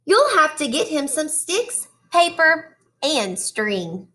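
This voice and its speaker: Female storybook reader for young children: high-pitched, unhurried, expressive teacher delivery. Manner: addresses children directly with questions and praise. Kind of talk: children's storybook read-aloud.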